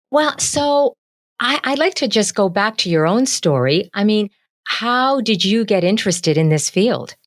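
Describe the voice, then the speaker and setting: A woman's voice: mid-range voice, lively intonation, unhurried, no room sound, studio quality. A woman hosting a podcast interview, asking questions in a conversational way. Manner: conversational, encouraging listener sounds. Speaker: podcast host.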